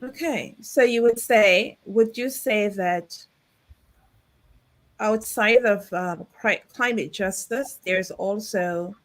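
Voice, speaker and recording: A woman hosting a conference question and answer session, halting as she puts her questions. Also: mid-range voice. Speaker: conference host and moderator.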